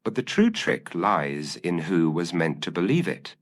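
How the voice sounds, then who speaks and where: A man voicing a shrewd, confident gentleman detective in an audio story, speaking precisely and formally. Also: sits low, very animated, measured pace, exaggerated voice. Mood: grave, certain.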